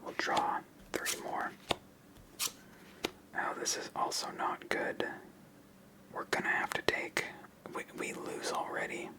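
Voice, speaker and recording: A person whispering ASMR-style commentary as the host of a card game channel, in a soft, unvoiced manner. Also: takes it slowly, long pauses, rough recording.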